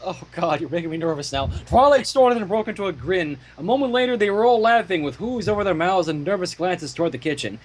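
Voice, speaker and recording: A husky-voiced man reading a fan story aloud, animated, stumbling and breaking off for joking asides. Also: high voice, fast talker, few pauses, slight background noise. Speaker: fan fiction reader and narrator.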